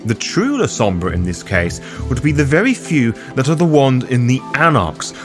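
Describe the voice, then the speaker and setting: A man hosting a tabletop roleplaying lore guide, reading scripted explanatory narration. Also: medium pitch. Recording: voice over loud music bed. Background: music.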